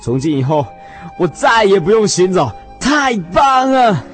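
A man playing an earnest, obsessed husband in a radio drama, moving theatrically between hushed reflection and fervent declaration. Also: high-pitched, big pitch swings, unhurried, faint music behind. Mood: elated, fervent. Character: earnest, obsessed husband yearning for a precious pearl.

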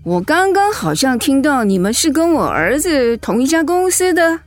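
Feisty motherly mother-in-law, radio drama, swingy high voice, music behind. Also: big pitch swings, moderate pace. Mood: breezy, polite. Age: middle-aged. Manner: indignant, emphatic when provoked, motherly. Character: protective, outspoken mother-in-law, warm to her daughter-in-law. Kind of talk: Mandarin radio drama acting.

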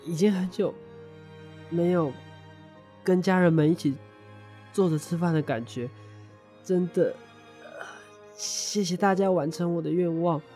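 Mellow, gentle young man acted. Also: high voice. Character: sentimental, easygoing young male dormmate. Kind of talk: audio drama acting.

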